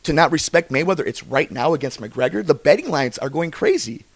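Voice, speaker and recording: A man's voice: on the high side, lively intonation, fairly quick, dry recording. A man hosting a sports podcast, reading promos and interviewing guests about fight sports.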